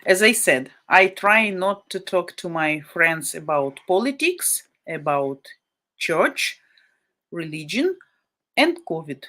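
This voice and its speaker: A woman's voice: on the low side, big pitch swings, takes it slowly, bright, forceful tone. A woman from Ukraine, a native Russian speaker with a Ukrainian-Russian accent, hosting a live stream and talking bluntly and indignantly, often repeating herself for emphasis. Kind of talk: casual live stream chat.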